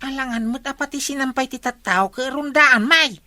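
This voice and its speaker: Bright, cheeky village night-watch member. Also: female voice, high voice, moderate pace, no echo. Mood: scolding. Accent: Ilocano. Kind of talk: comedy radio drama acting.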